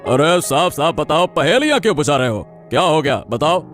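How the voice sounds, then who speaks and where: A man's voice: on the high side, big pitch swings, a little fast, a bit bright, faint music behind. A man voicing an authoritative, questioning king in a cartoon story. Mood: questioning, commanding. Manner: commanding, questioning. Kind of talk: Hindi cartoon story voice acting.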